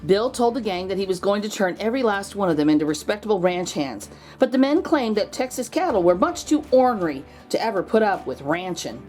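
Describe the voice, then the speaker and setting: A woman's voice: mid-range voice, lively intonation, fairly quick, few pauses, darkish tone, faint music behind. A woman reading a children's picture book aloud expressively, drawing out key words for emphasis. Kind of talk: expressive storybook read-aloud.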